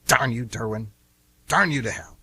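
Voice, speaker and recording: A man's voice: mid-range voice, normal speed, pushed and bright, slightly rough, no room sound, voice pushed down. A man playing an eager, scheming pet goldfish with earnest, grandiose delivery in an amateur comic radio play. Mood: resigned.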